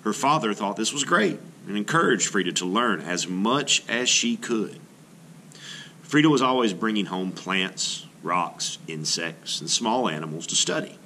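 A middle-aged man with a fairly low, bright voice reads aloud like an art teacher to young pupils. His intonation rises and falls in a very animated way as he works through each sentence in a measured, expressive manner. A light background noise sits under the recording. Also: poor audio. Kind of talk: read-aloud narration of a children's nonfiction book.

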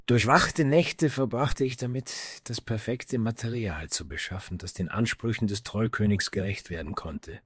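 A man's voice: lowish voice, normal speed, hardly any pauses, husky, no echo, studio quality. A man playing a proud, vengeful dwarf smith from the icy north, speaking in solemn, grandiloquent phrases in a fantasy audio story. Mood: proud, fervent.

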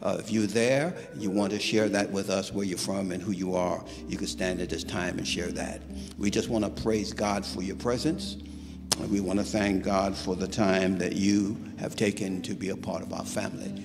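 Gravelly-voiced pastor in a church hall. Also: male voice, sits low, steady tone, normal speed, over music. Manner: warm call-and-response greeting. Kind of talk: church welcome from the pulpit. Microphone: stand mic at pulpit.

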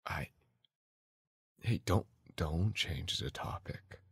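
A man acting a gruff, guarded young bully softening toward his sweetheart, in an intimate, halting ASMR roleplay, often near a whisper. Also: sits low, full character voice. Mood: startled, flustered. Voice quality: husky, gravelly, breathy voice.

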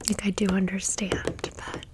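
Slow, whispering woman ASMR host. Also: mid-range voice, level tone. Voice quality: breathy unvoiced whisper. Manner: gentle, unhurried, chatty informal talk. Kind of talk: whispered ASMR product show-and-tell.

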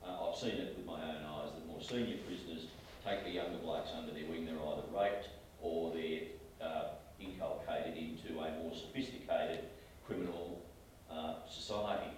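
An Australian man speaking as a panelist in a measured, reflective way. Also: a bass voice, fairly even intonation, slight background noise. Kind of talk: panel discussion. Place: small meeting room. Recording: echoey room from far off.

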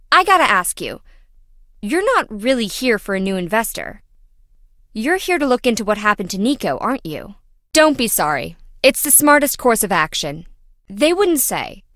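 A woman's voice: fairly high pitch, fairly bright tone, dry recording, clean studio sound. A woman playing a quirky, dramatic young female lab worker in a video game, chatty, wry and theatrical. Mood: subdued, troubled.

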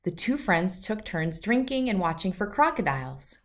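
A woman's voice: medium pitch, sing-song, moderate pace. A middle-aged woman narrating a children's storybook as a read-aloud host.

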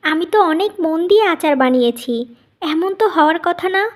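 A woman's voice: very high voice, lively intonation, moderate pace. A woman playing an earnest, often distressed young wife in a melodramatic family cartoon, pleading in her way of talking.

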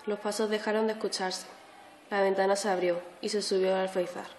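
A woman's voice: mid-range voice, flat delivery, a little fast, darkish tone. A teenage girl from Spain narrating a scripted horror story. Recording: very noisy, echoey amateur recording.

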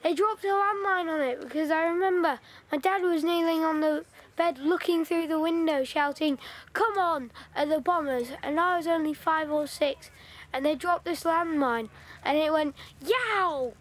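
A woman's voice: very high voice, moderate pace. A woman playing a chatty, blunt wartime schoolchild in an audio play. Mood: excited. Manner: chirpy, blunt.

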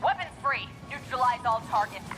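A woman's voice: on the high side, animated, moderate pace, natural voice. Sci-fi game lead: actress as a curt, commanding female special forces officer in her thirties, clipped delivery, music underneath. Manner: clipped, authoritative military delivery. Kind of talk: video game voice acting.

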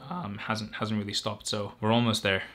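A man's voice: fairly low pitch, faint music behind. A man in his twenties, a medical student answering viewer questions in a casual vlog at home. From Greece. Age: 20s. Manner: quick, energetic, reads out viewer questions. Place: home room or desk setup. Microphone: camera facing him at close range.

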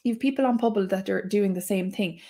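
A woman's voice: medium pitch, a little fast. A young adult woman from Waterford with an Irish accent, chatting animatedly as a guest on a podcast over a video call. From Ireland. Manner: animated, enthusiastic, gestures while talking. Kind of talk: casual podcast interview. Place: small room at home. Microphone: video call, earphones.

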